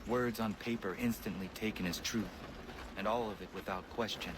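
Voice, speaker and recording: A man's voice: lowish voice, steady tone, normal speed, noisy background, close to an everyday voice. An actor playing an earnest, principled young man in a video game, formal and stubborn in speech. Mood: troubled, earnest. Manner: formal, earnest, few contractions.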